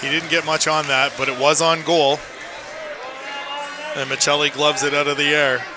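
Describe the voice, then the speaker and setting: A man's voice: on the high side, flat delivery, moderate pace, music in the background, a crowd in the background. A man giving opinionated, emphatic color commentary on a live hockey radio broadcast. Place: indoor ice rink. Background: loud rink ambience and noise.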